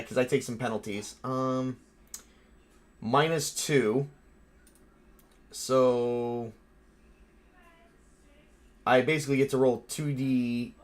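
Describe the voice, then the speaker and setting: A man with a mid-pitched voice runs a tabletop horror game. He talks casually and haltingly, improvising his narration and rulings, and swears freely. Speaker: game master and player.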